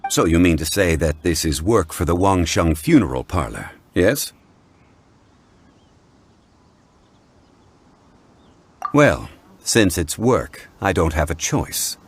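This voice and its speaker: A man voicing a composed, learned consultant in a video game, speaking in a formal, measured, scholarly manner. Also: low voice, sing-song, slightly rough, exaggerated voice. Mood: calm, dutiful. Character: composed, learned male funeral parlor consultant, dutiful and measured. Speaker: consultant and historian. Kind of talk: video game voice acting, expository storytelling.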